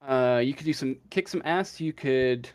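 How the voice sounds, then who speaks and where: A man running a casual tabletop game as game master, improvising the narration in a hesitant, halting way. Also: medium pitch, a little mellow.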